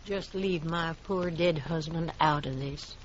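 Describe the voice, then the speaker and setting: A woman's voice: deep voice, a little slow. A woman playing a stubborn older frontier widow in a radio western, with feisty, folksy American speech. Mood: defiant. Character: stubborn older widow, frontier homesteader guarding her land. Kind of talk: old-time radio western acting. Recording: dull lo-fi recording with clear background noise.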